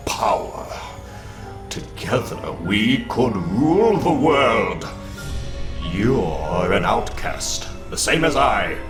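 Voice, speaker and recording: A man voicing an arrogant, scheming supervillain in a comic book dramatic reading, pompous, theatrical and cold. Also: medium pitch. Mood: smug, persuasive. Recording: roomy echo under loud background music.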